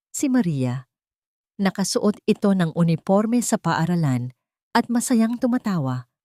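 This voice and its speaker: Low-voiced woman narrating a drama. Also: darkish tone, no echo, studio quality. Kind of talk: scripted dramatic story narration.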